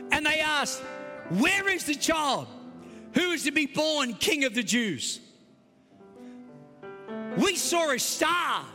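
A man with an Australian accent preaching a Christmas Eve sermon, emphatic and swinging between loud and soft. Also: extremely high pitch. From United States. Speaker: pastor. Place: large church hall. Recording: long hall reverb.

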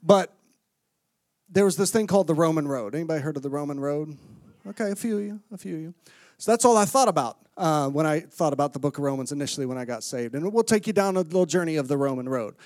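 A middle-aged preacher from Florida, speaking in a slightly high voice. He mixes scripture reading with easygoing, self-mocking asides. The sound is close and dry. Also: lively intonation, quick pace, darkish tone, no echo. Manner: conversational, self-deprecating humor, reads scripture aloud. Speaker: guest preacher. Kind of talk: sermon. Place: church sanctuary with congregation.

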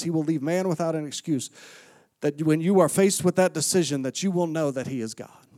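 A middle-aged preacher from Florida, speaking in a slightly high voice. He mixes scripture reading with easygoing, self-mocking asides. The sound is close and dry. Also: a little mellow, dry recording. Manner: conversational, self-deprecating humor, reads scripture aloud. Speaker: guest preacher. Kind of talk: sermon. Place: church sanctuary with congregation.

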